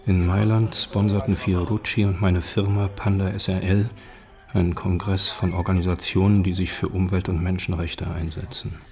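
A very low-voiced man reads documentary narration. His delivery is sober and factual, with a flat, level intonation. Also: normal speed, gravelly, faint music behind. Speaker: narrator reading documents and facts.

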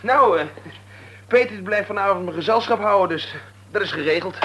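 An actor playing an indignant, sulky teenage son in a radio play, whining and protesting in casual speech. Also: male voice, sits high, normal speed, bright, forceful tone. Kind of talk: Dutch radio play acting. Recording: old radio recording, faint noise, clicks.

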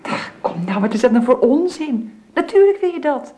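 An actress playing a brisk, practical, matter-of-fact sister with gentle mockery in a Dutch radio drama. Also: female voice, high-pitched, big pitch swings. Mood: teasing, insistent.

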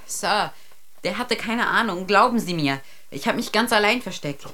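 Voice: mid-range voice, animated, moderate pace, pushed and bright. A young performer playing a timid, bookish teenage boy stowaway in a radio drama, deferential and hesitant. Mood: pleading.

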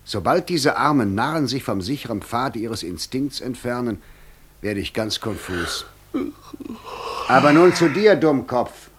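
An actor playing a suave devil posing as a gentleman in a radio play, sly, ironic and theatrically polite. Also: medium pitch, animated, unhurried, a little hiss or hum. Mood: musing. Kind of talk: classic German radio play acting.

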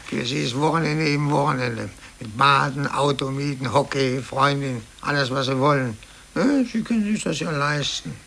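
A man's voice: mid-range voice, normal speed, vocal fry, sharp tone. An actor playing a grumbling, aggrieved older male office clerk with a sarcastic manner in a 1950s German radio play. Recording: hissy old cassette copy of radio broadcast.

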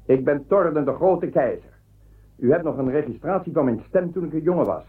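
An actor playing a sly, imperious emperor in a science-fiction audio drama, speaking in a measured, commanding way. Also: male voice, on the high side, lively intonation, husky. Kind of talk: science-fiction radio play acting. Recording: narrow phone-like band, faint noise.

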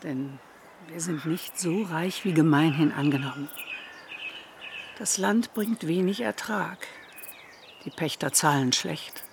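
An actress playing a sober, calculating middle-aged mother in a radio drama, measured and matter-of-fact. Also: low voice, creaky, a little hiss or hum, exaggerated voice. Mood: sober, practical. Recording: faint birdsong and garden ambience. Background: birdsong.

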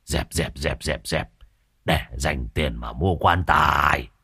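A man's voice: rumbling low, slow pace, sharp tone, slightly rough, no echo, studio quality, pitched below the performer's own voice, exaggerated voice. A man voicing a timid, grumbling old village man who fusses and whines. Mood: fussing. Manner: whining, fussing.

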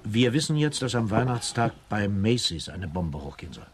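An actor playing a methodical police investigator with a halting, probing way of talking in a German radio play. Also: male voice, fairly low pitch, very animated, a little hiss or hum. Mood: businesslike. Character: methodical, hesitant-spoken male police investigator.

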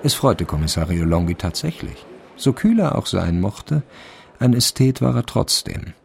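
A man's voice: sits low, very animated, moderate pace, vocal fry, husky, exaggerated voice. A man narrating a radio crime drama as a composed, wry literary storyteller.

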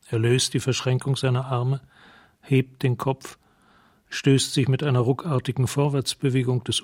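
An actor narrating as a detached observer, giving scene descriptions in short, measured phrases for a radio play. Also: male voice, mid-range voice, darkish tone, slightly rough, no echo, studio quality. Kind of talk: radio drama scene narration, present tense.

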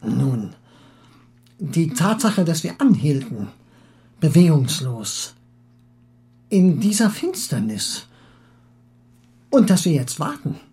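A man playing a talkative, excitable Russian émigré husband who philosophizes eagerly and halts for words in acted audiobook dialogue. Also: fairly high pitch, heavily acted. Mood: musing, talkative. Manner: garrulous, halting when searching for words.

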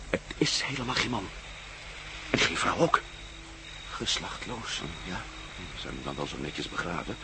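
A man acting a wary, wry adventurer in a Dutch radio play, with a Dutch accent. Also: fairly low pitch. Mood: hushed awe. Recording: noisy old radio drama with outdoor ambience.

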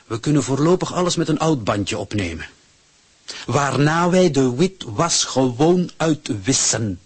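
A man's voice: mid-range voice, expressive, measured pace, breathy edge, no echo. A man acting in a radio drama as a stubborn, suspicious husband, grumbling and emphatic. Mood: irritated, stubborn. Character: stubborn, suspicious husband railing against propaganda.

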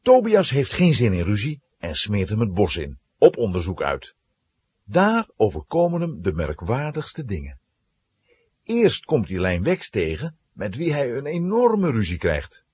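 A man giving dramatic, expressive scripted narration of a children's story. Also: fairly low pitch, measured pace, breathy edge, dry recording.

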